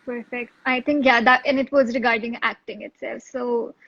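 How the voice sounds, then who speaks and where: A woman's voice: fairly high pitch, fairly even intonation, moderate pace, dry recording. A woman hosting casually and reading out audience questions, heard through dull lo-fi audio. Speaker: host.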